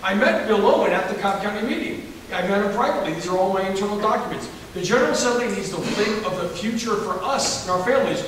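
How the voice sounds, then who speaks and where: A Southern-accented man with a high voice speaks emphatically and repeats himself. He is recorded from far off in a large hall with heavy echo and long reverb. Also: lively intonation, fast talker, hardly any pauses, fairly bright tone, a little hiss or hum. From Alabama. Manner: emphatic, folksy, repetitive. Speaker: candidate for governor. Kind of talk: campaign forum answer.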